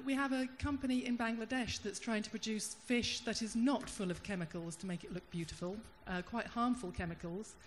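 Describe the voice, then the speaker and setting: Woman speaking from the floor of a hall. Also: medium pitch. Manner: thoughtful, searching, with pauses and restarts. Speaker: audience member at a panel. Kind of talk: spontaneous audience comment. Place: large conference hall. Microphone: handheld microphone. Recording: hall reverb, faint room hum.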